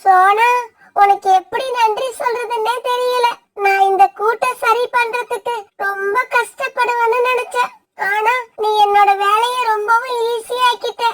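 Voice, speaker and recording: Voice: very high-pitched, fairly even intonation, measured pace, a bit bright, recorded from a distance. A performer voicing a small injured female sparrow in a cartoon, speaking in a pleading, tearful way. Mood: grateful. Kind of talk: cartoon voice acting.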